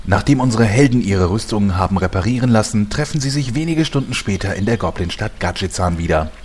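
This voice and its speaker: Low, quick-talking male narrator. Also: expressive, a little mellow, slight background noise. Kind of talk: scripted narration.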